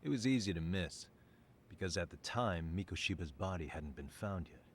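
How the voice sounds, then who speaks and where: A deep, blunt detective. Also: male voice, expressive, moderate pace, a little hiss or hum. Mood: sardonic. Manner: dry, blunt, sardonic. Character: blunt, sardonic male detective and lawyer.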